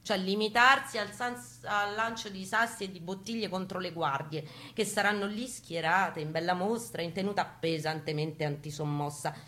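A woman with a Roman Italian accent gives an expressive, irreverent, streetwise first-person theatrical reading in colloquial, slangy language. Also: medium pitch, moderate pace, few pauses. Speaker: performer of a dramatic reading. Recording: voice over prominent acoustic guitar music. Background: live acoustic guitar accompaniment.

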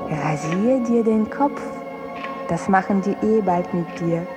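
An actress playing a mocking woman in a radio drama, sardonic and deliberate. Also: mid-range voice, over music, close to an everyday voice. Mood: sardonic, taunting.

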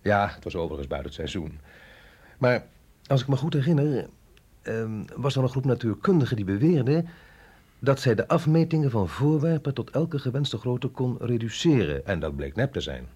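Sceptische agent met schorre stem. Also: male voice, mid-range voice, big pitch swings, normal speed, mellow, breathy edge, muffled recording. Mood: hesitant, pondering. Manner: hesitant, questioning, wry. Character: sceptical, wry male agent, an outsider out of his depth. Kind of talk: radio drama acting.

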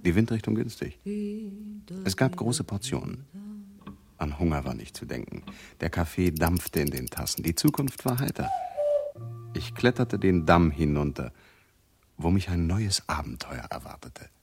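An actor playing a composed adventurer who recounts sea disasters and journeys as literary narration in a radio play. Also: male voice, deep voice, sing-song, normal speed, creaky, pauses often, background noise, exaggerated voice. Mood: composed, leisurely. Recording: studio radio drama with music behind.

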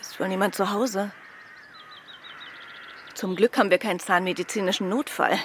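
An actress playing an affectionate, spirited detective's wife in a German radio crime drama, speaking expressively. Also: female voice, mid-range voice, steady tone, normal speed, a little hiss or hum, lightly acted. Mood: light, playful.

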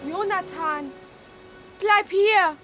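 A woman's voice: very high-pitched, extremely slow, full character voice. An actress playing an earnest, stubborn girl full of longing in a German radio play. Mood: pleading, urgent. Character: Anne, wistful, stubborn, intense girl longing to leave. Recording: music under the voice.

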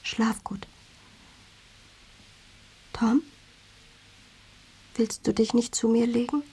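Very breathy, lonely wife. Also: female voice, a little high, steady tone, drawn-out words, dark tone, full character voice, rough recording. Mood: tender, pleading. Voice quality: very breathy, half-whispered. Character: lonely, needling, attentive wife. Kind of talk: radio drama acting.

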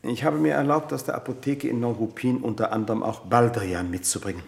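An actor playing a formal, obsequious servant with quiet menace, speaking in a stilted, overly polite way in a German radio play. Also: male voice, mid-range voice, a little fast, creaky, close to an everyday voice. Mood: dutiful, formal. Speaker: household servant.

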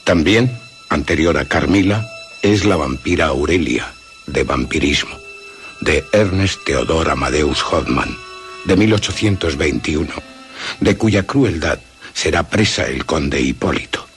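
Low-pitched male radio narrator. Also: lively intonation, unhurried. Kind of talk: dramatic scripted narration. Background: music.

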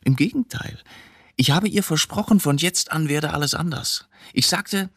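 A man's voice: a little high, normal speed, a bit bright, no room sound, studio quality. An actor playing an embittered, middle-aged male court reporter suspected of murder in a German radio drama, brooding and agitated, given to rhetorical questions. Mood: bitter, confessional.

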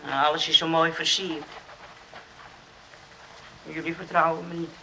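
A childlike burglar in a radio play. He sounds sulky, plaintive and wounded, and the recording has clear noise behind the voice. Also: fairly high pitch, level tone, a little slow, sharp tone, poor audio. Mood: hurt, sulky.

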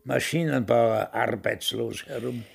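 An actor in a radio drama playing a cantankerous, fatalistic old father with a raspy, creaky voice. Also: mid-range voice, measured pace, full character voice. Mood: bitter, fatalistic. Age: older. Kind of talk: German radio drama acting.

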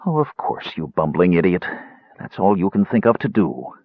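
A man's voice: low-pitched, big pitch swings, moderate pace, husky, no echo, phone-quality sound, exaggerated voice. A man doing a snarling, bitter mage. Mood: scornful. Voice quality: snarling, cutting delivery. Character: bitter, sharp-tongued male mage, scornful.

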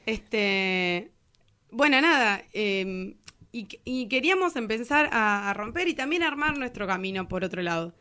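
High-voiced Argentine woman, casual musician chat. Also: bright, forceful tone. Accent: Rioplatense Argentine Spanish. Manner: colloquial, slangy. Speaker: musician being interviewed as guest. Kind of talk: casual radio interview chat.

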